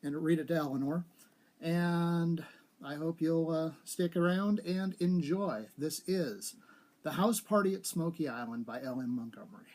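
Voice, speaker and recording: A man's voice: a little high, a little slow, a little mellow. A man giving a live reading of a short story, narrating as he goes. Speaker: story reader.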